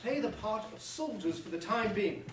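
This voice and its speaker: A man's voice: high voice, lively intonation, normal speed. A man playing a grandiose, lovestruck young prince in a staged verse comedy, with formal theatrical declamation. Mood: commanding, excited. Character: pompous young prince, grandiose, softened by love. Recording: very echoey room, distant mic, faint noise.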